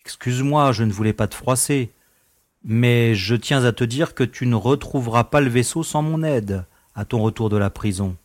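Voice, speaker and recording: An insistent male space adventurer played by an actor in a sci-fi audio drama. His voice is mid-pitched and earnest, persuasive toward his companion, recorded close and dry. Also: a little mellow, no room sound, lightly acted.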